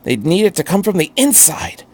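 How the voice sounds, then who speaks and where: A man's voice: sits high, wildly swooping pitch, a little fast, darkish tone, voice pushed up, heavily acted. A man voicing an intense, eccentric alien scientist hero in a fan story, with a grim, urgent and emphatic manner. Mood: calculating.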